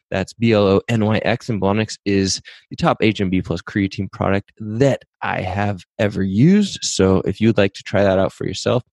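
A man with a hoarse voice that is starting to give out, talking through his fitness podcast with upbeat, pep-talk energy. His voice sits a little low, and the sound is dry and close with no room around it. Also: a little mellow, no echo. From Pacific Northwest, USA. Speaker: podcast host and fitness coach. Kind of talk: casual solo podcast talk with sponsor reads.

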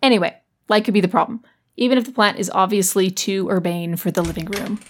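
A woman's voice: mid-range voice, fast talker, slight background noise, natural voice. A woman playing a sarcastic, rambling teenage sound editor in a comedic audio drama. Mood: dry, annoyed. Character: teenage sound editor hosting a show, sardonic, self-doubting rambler.

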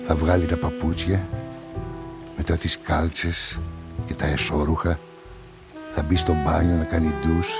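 An actor playing a brooding journalist and amateur sleuth in a radio play, measured and introspective. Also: male voice, sits low. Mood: brooding, slow. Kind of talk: radio play narration and dialogue. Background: music.

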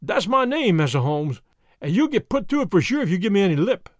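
A man voicing a hulking, menacing bruiser who blusters in rough, ungrammatical slang. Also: high-pitched, sing-song, brisk, dry recording, studio quality. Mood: threatening.

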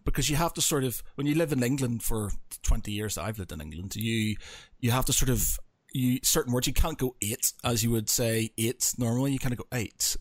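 A man from Northern Ireland with a softened Northern Irish accent, hosting a casual podcast chat, hesitant and laughing often. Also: medium pitch, no room sound. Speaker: podcast host.

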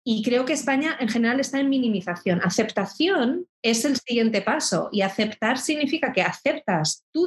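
Woman explaining thoughtfully. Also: a little high. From Spain. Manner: thoughtful, explanatory, frequent restarts. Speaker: intercultural educator. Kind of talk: reflective interview talk.

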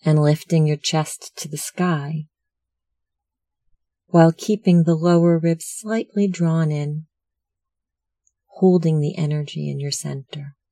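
Slow, mellow, softly creaky meditation guide. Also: medium pitch, steady tone, dry recording. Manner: measured, soothing instructional delivery.

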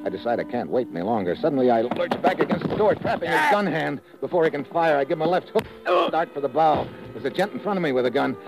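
An actor playing a tough, wry American secret agent who tells his story in terse, deadpan 1950s radio drama style. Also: fairly high pitch, fast, creaky. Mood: suspenseful narrating. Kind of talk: 1950s radio drama acting, first-person hard-boiled narration. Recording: muffled vintage radio recording with music.

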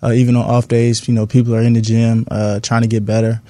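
A man in his twenties giving casual interview answers as an athlete, phrasing things haltingly. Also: mid-range voice, monotone, warm tone, no echo. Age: 20s. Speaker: professional basketball player being interviewed.

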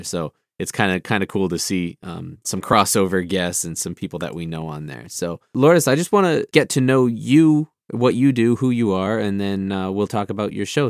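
A man hosting a podcast, chatting in a relaxed way with many fillers. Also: sits low, no room sound, clean studio sound. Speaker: podcast host. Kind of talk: casual podcast interview.

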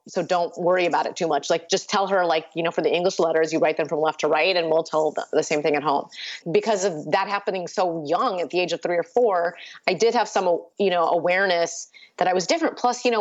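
A woman in her fifties whose first language is Urdu, telling stories in a relaxed conversation with many "like"s and "you know"s. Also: fairly low pitch, brisk, lo-fi sound. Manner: chatty, storytelling, frequent "like" and "you know". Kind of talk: casual conversation.

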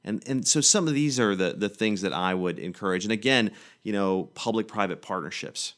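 Earnest man from Tennessee, lowish voice. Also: brisk, fairly bright tone. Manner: earnest, many restarts and "you know". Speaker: guest physician. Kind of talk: radio interview answers.

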